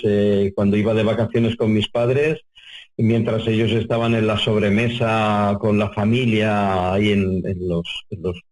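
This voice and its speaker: A man's voice: lowish voice, darkish tone, rough recording. An older man from Spain answering as an interview guest, reflective and conversational, wordy and often rephrasing as he goes. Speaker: interview guest, UFO contactee researcher.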